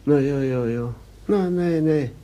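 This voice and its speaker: An actor playing a poor, troubled old weaver in a radio drama, hesitant and repeating words in Silesian German dialect. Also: male voice, a little high, unhurried, warm tone, close to an everyday voice. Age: older.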